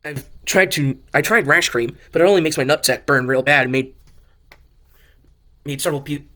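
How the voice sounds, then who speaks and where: A man's voice: fairly high pitch, moderate pace, sharp tone. A man narrating a fan audio drama as an amateur, often stumbling over and repeating words as he reads. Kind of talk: amateur story reading.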